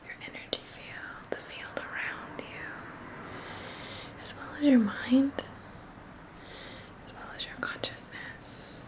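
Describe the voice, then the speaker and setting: Female ASMR reiki guide: breathy whisper, very slow pacing, soothing repetition of short phrases. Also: high voice, poor audio. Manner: soothing, repeats words and short phrases slowly. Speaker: ASMR reiki practitioner and host. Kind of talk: ASMR guided energy-healing session.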